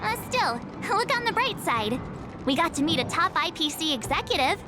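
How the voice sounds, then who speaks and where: Perky teen girl game character, high bouncy voice, chatty, music behind. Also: normal speed. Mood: upbeat. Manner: bubbly, expressive.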